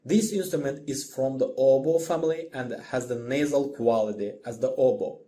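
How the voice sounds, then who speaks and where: A man who is a non-native English speaker narrates a scripted music lesson as the instructor, in a deliberate, explanatory manner. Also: medium pitch, dark tone. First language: not English.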